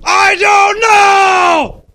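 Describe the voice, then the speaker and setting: A man voicing a wounded, desperate father in a comic storybook reading, crying out in drawn-out, melodramatic shouts. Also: very high voice, level tone, no echo, voice pushed up, exaggerated voice. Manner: shouting in drawn-out, overwrought cries. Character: dying father, wounded, desperate, melodramatic, urging his son.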